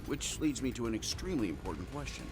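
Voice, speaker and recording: Man playing a wisecracking, animated superhero inventor. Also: mid-range voice, loud music behind the voice, close to an everyday voice. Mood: glib. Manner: glib, wry, quick-witted. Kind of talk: video game cutscene acting.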